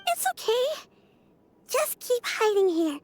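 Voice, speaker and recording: A woman's voice: sing-song, slow pace, background noise, heavily acted. A woman voicing a small, kind-hearted girl with a childlike voice in a game. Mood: reassuring. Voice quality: childlike, high. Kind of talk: animated game voice acting.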